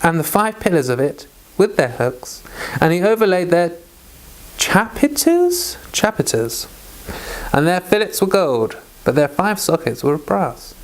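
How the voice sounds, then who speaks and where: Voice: mid-range voice, sing-song, unhurried, low-quality recording. A person reading Bible scripture aloud as a read-along, occasionally stumbling over and repeating words. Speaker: Bible reader.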